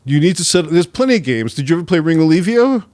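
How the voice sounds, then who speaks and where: A man guesting on a casual podcast, easygoing and quick to laugh, musing reflectively between jokes. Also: on the high side, sing-song, darkish tone, dry recording. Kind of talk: casual chat.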